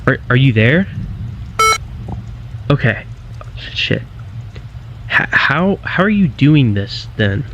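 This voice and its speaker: A man's voice: mid-range voice, sing-song, full character voice. A man playing a frightened explorer of an abandoned building in found-footage horror, with a stammering, nervous way of talking. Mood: alarmed. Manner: halting, stammering, nervous questioning. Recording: muffled lo-fi footage, loud ambient noise.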